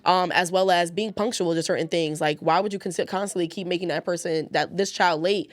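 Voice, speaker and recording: A woman's voice: lowish voice, brisk, no room sound. Emphatic woman in her thirties, dry close sound. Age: 30s. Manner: animated, emphatic, slangy storytelling. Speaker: guest. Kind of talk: casual podcast conversation.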